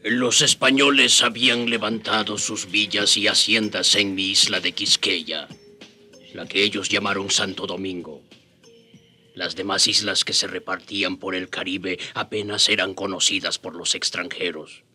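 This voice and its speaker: A dignified taíno storyteller, deep but very crisp forceful voice, solemn radio drama narration, faint music. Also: male voice, steady tone, a little slow, exaggerated voice. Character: dignified Taíno man recounting his people's history.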